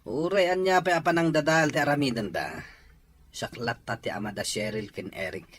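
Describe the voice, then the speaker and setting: A man's voice: fairly high pitch, normal speed, a bit bright, a little hiss or hum, close to an everyday voice. A man playing a stubborn, irresponsible father, insistent and defiant, in an Ilocano radio drama. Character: stubborn, irresponsible father demanding his children back.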